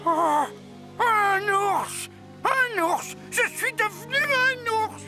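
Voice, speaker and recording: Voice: very high voice, expressive, drawn-out words, exaggerated voice. An actor playing a timid, wordy little male animal sidekick in a French cartoon, speaking hesitantly and mock-formally. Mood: shocked. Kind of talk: French cartoon dub acting. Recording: music behind the voice.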